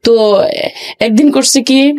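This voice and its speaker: A Bangladeshi woman speaks in a slightly high voice as a guest on an interview show. She tells a personal story in colloquial speech. Her delivery is halting and circles back over phrases. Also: darkish tone. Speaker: guest telling own life story.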